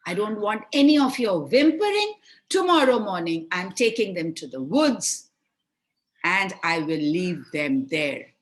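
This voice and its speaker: A woman acts out a selfish, angry fairy-tale mother. She scolds and threatens with heavy emphasis, her pitch swinging widely as she lays down orders in a measured, slow delivery. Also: sing-song. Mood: harsh, commanding.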